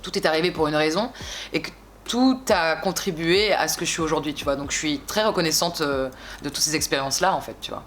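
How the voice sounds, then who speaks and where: A young woman in her twenties from France, a singer chatting casually in an interview, relaxed and slangy, rambling with frequent little asides. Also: sits low, a little fast, slight background noise. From France (Bordeaux).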